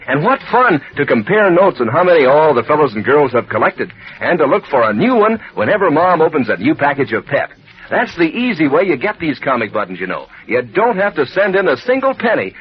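A man's voice: fairly high pitch, slightly rough. A male announcer reading 1940s radio ads in a peppy, salesman-like way. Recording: dull lo-fi old radio recording.

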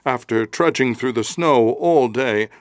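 A man's voice: mid-range voice, a little slow, no room sound, natural voice. A man playing a weary, humble wandering stranger in a children's story, speaking politely and hesitantly. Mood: grateful, humble. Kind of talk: children's story podcast character acting.

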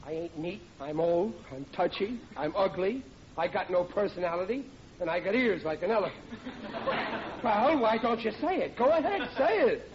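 A man's voice: high-pitched, lively intonation, normal speed. An actor playing a touchy, blustering working-class family man in old radio comedy. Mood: aggrieved. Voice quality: ungrammatical working-class speech. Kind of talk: 1940s radio comedy acting. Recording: noisy, muffled old radio recording.

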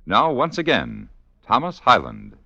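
Forceful, bright male radio announcer. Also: medium pitch, wildly swooping pitch, unhurried, gravelly, dry recording, soft background music. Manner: emphatic, formal announcing. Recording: dull, dry old radio recording.

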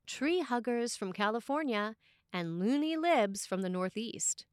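A woman's voice: medium pitch, a bit bright, no echo. Woman reading a sermon in dry sound. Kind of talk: scripted sermon read aloud for a podcast.

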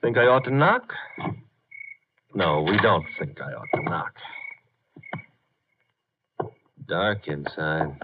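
A man's voice: deep voice, very animated, measured pace, breathy edge, exaggerated voice. An actor playing a glib, flirtatious detective's legman with wry, bantering delivery in 1950s radio drama style. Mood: hushed, watchful. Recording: dull, noisy 1950s radio recording.

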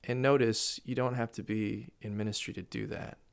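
A man in casual podcast conversation, telling a story with laughs and lively asides. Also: medium pitch, moderate pace, vocal fry, clear, resonant tone. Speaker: guest speaker.

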